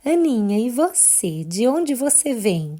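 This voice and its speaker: Storybook owl schoolteacher played by a female narrator, Brazilian accent: mellow, kindly, reassuring, gently cheerful. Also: on the high side, very animated, slow, full character voice. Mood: kindly, welcoming. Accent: Brazilian Portuguese.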